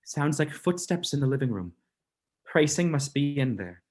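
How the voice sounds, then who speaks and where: A student actor playing an earnest, protective, suspicious gentleman in a melodramatic vintage radio mystery. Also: on the high side, expressive, normal speed, close to an everyday voice. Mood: tense, wary.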